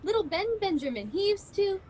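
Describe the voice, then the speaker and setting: A woman playing an excitable female miser recalling childhood, in a theatrical radio play recorded over a video call. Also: very high-pitched, brisk, background noise. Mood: excited, nostalgic.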